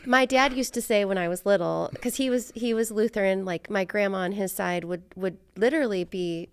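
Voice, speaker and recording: A woman's voice: medium pitch, fairly quick, a little hiss or hum. A middle-aged American woman hosting a podcast in casual, reflective, rambling and self-deprecating conversation. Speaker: podcast host.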